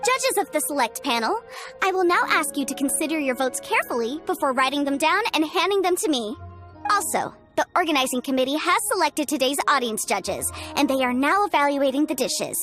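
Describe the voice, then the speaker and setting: A woman's voice: high voice, very animated, brisk, a bit bright. A woman voicing a formal, upbeat cooking contest presenter in a video game, announcing over music. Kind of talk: video game character acting.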